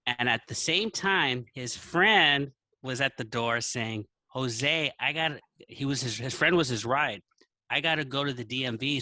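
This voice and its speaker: Man, bright voice, casual storytelling, quotes dialogue in character, dry close sound. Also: medium pitch, no echo. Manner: acts out dialogue in anecdotes. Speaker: podcast guest, teacher.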